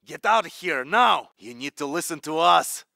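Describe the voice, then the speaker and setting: A man's voice: fairly high pitch, animated, a little slow, vocal fry, pushed and bright, dry recording, studio quality, heavily acted. A man voicing a cocky, needling soldier in a video game. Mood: stern, coaxing.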